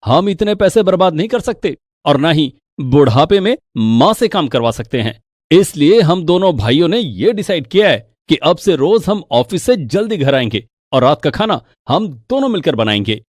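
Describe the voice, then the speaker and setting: Responsible grown son in a cartoon, voiced by a guy, emphatic, highish voice with big swings. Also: big pitch swings, moderate pace, a little mellow, no echo, clean studio sound. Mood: earnest, firm. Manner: emphatic, explaining. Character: responsible, earnest adult son who works in an office.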